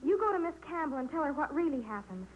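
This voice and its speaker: A woman's voice: high voice, animated, fast. An actress playing an earnest, melodramatic, adoring teenage girl, gushing and dramatic, in 1940s radio comedy style. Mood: indignant. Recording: dull, faintly noisy old radio recording.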